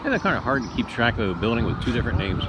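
A man's voice: medium pitch, big pitch swings, racing. A man hosting an informal walking history tour outdoors, talking to viewers in a folksy, hesitant, rambling storytelling way. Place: outdoors on city streets and park lawn. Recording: noisy outdoor street with traffic. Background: street traffic and outdoor city ambience.

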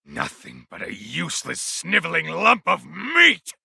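An actor playing a contemptuous, bloodthirsty immortal villain in a video game, sneering theatrically. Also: male voice, fairly high pitch, wildly swooping pitch, measured pace, gravelly, bright, forceful tone, husky, dry recording, heavily acted. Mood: scornful.

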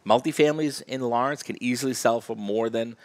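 A man's voice: mid-range voice, a little fast, darkish tone. A man appearing as a real estate guest, giving confident, explanatory interview answers. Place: TV-style studio set.

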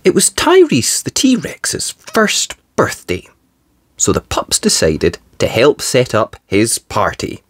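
A storybook reader narrating for young children in an expressive, theatrical, emphatic way. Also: medium pitch, slow pace, breathy edge, no room sound. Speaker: storybook reader, channel host. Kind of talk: animated children's read-aloud narration.

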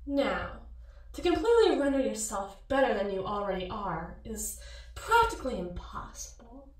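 A woman's voice: medium pitch, big pitch swings, normal speed, lightly acted. A woman playing a sly, seductive, mocking mirror alter ego in an ASMR roleplay, cooing and teasing. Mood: coaxing, cutting. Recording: echoey, distant-sounding voice.

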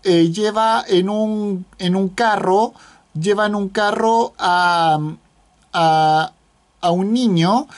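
A woman's voice: medium pitch, slow. A woman reviewing a film in casual talk, hesitant, with many fillers and restarts. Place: indoors, at a computer screen.

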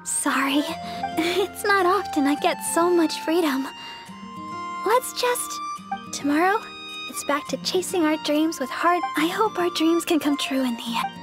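A sweet young deaconess with an extremely high voice. She is earnest, polite and gentle in an anime game style, with loud music behind her. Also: animated, unhurried. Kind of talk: anime-style video game character acting.

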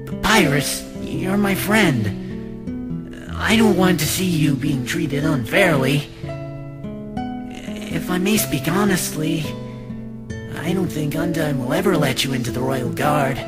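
A woman voicing a small talking flower in a comic dub, flattering and coaxing with a cruel, scheming streak. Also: low-pitched, takes it slowly. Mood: doubtful prodding. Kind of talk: comic dub character acting. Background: music.

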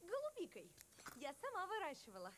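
Squeaky-high plucky young cartoon rabbit. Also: female voice, wildly swooping pitch, brisk, fairly bright tone, slight background noise, full character voice. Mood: defiant. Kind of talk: animated film dub.

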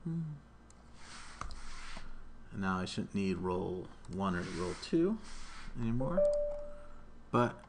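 Guy in his 30s doing a live coding walkthrough, lowish voice, bit of room noise and typing. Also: big pitch swings, slow, creaky, pauses often. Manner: informal step-by-step narration of own actions. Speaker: programming instructor. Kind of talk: live coding tutorial, thinking aloud. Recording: noisy room mic with keyboard typing. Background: keyboard typing, room noise.

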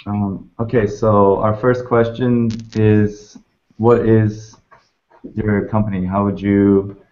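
A man from Canada hosting a casual video-call interview, relaxed and hesitant with fillers. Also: on the low side, slow. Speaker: interviewer, host. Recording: muffled video-call audio.

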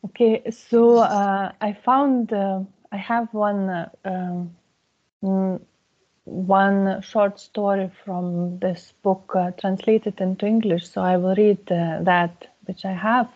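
A middle-aged woman with a Lithuanian accent, speaking English as a second language as a writer on a video call from home, pausing often with fillers. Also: mid-range voice, steady tone. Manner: halting, many filler pauses. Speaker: guest writer. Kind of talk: conversational interview over video call. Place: home room. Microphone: video call with earphone mic. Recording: slightly dull video call audio.

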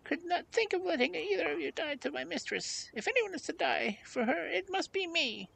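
Devoted little storybook dog, very high. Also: male voice, lively intonation, moderate pace, creaky, a bit bright, full character voice. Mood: eager, devoted. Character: eager, devoted little male dog, loyal and self-sacrificing. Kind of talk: storybook character voice.

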